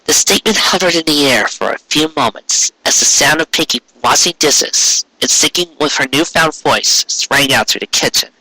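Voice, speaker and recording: Male narrator, storybook reading, sharp bright tone, lively pitch, dry close sound. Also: normal speed, hardly any pauses, dry recording, low-quality recording. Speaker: narrator, fan fiction reader.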